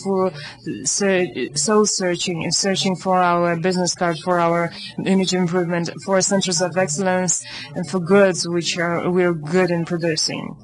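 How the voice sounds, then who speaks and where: A woman with a lowish voice gives a live spoken interpretation into English. She works haltingly, breaking off mid-word and filling gaps with "uh" as she finds the phrasing. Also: rough recording. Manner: halting, with restarts and "uh"s.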